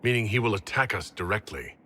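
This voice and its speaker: An actor playing a cautious, commanding hero in a fantasy game, with a measured, serious, questioning delivery. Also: male voice, on the low side, animated, bright, forceful tone, a little hiss or hum. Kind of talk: dramatic fantasy game acting.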